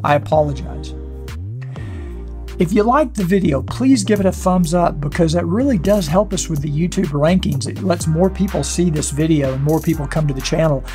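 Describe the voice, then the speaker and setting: An older man with a rough, hoarse voice that sits a little high for a man talks straight to camera from a small studio desk. He rambles, restarting his thoughts with plenty of fillers as he answers viewer mail. Faint music plays underneath. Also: moderate pace, warm tone. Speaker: motorcycle channel host. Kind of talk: casual talk to camera answering viewer comments. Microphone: wireless clip-on mic.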